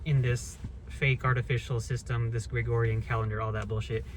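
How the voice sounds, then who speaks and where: A man's voice: medium pitch, level tone, a little fast, a little hiss or hum. A man in his thirties talking through a casual, rambling rant, blunt and swearing freely. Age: 30s. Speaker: vlogger.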